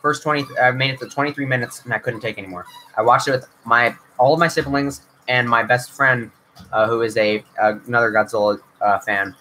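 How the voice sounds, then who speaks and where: Young man, bright voice, rambling livestream Q&A, faint background noise. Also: mid-range voice, fairly even intonation, fairly quick, poor audio. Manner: rambling, filler-heavy, frequent restarts. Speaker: YouTube channel host. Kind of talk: casual livestream Q&A.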